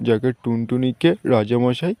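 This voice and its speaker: A man's voice: medium pitch, moderate pace, background noise, close to an everyday voice. A man voicing a bold, loyal male crow in a cartoon. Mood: determined. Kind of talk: cartoon voice acting.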